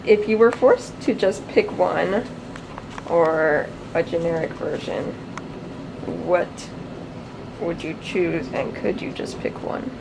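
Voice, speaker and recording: An interviewer asking short questions in a plain, even way. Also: medium pitch, slow pace, creaky. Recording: noisy recording.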